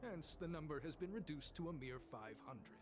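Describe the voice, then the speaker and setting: A man's voice: on the high side, expressive, normal speed, music in the background, lightly acted. An actor playing a devout male cult disciple in a video game, preaching in a solemn, formal, sanctimonious manner. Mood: stern, proud.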